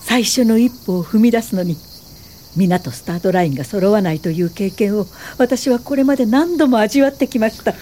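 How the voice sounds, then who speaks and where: A woman's voice: medium pitch, dark tone, lightly acted. An actress in a radio drama playing an earnest, principled woman educator and social worker, speaking politely and thoughtfully. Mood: resolved. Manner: polite, thoughtful, measured delivery. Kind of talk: radio drama dialogue and reflective first-person narration.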